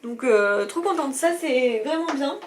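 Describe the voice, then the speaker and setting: A woman's voice: high voice, animated, unhurried, a little hiss or hum. A woman, chatting casually and enthusiastically to viewers as a beauty vlogger. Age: 20s. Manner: bubbly, enthusiastic. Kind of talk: casual unboxing chat to viewers.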